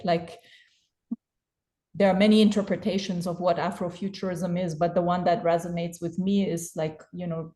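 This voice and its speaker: A woman's voice: on the low side, warm tone. A woman from Germany speaking as a panel guest, thoughtful and hesitant, with many restarts. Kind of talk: panel discussion.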